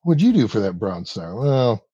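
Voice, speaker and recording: A man's voice: fairly low pitch, sing-song, moderate pace, warm tone, slightly rough, dry recording, muffled recording. A man co-hosting a casual news chat, cracking dry jokes. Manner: dry wisecracks. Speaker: co-host.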